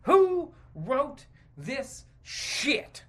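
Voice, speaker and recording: A man's voice: extremely high pitch, huge pitch swings, very slow, fairly bright tone. An American man hosting a podcast, ranting in an exasperated, foul-mouthed, emphatic stream of consciousness and often repeating himself. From United States. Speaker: podcast host. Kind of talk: stream-of-consciousness comedic rant.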